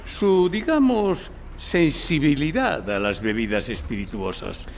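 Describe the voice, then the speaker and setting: Pompous lawyer: high, wildly swinging intonation, formal commands, reverberant hall. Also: male voice, big pitch swings, measured pace. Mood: commanding, formal. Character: commanding, theatrical male lawyer questioning a client. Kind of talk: live audio drama acting. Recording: reverberant hall with background noise.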